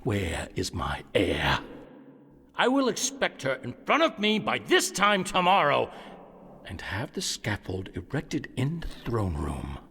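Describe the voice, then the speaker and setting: A man's voice: medium pitch, huge pitch swings, slow pace, vocal fry, fairly bright tone, husky, full character voice. An actor playing a proud, commanding king in an audio drama, regal and formal in manner. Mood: angry, menacing. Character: proud, commanding king, stern and imperious with buried tenderness.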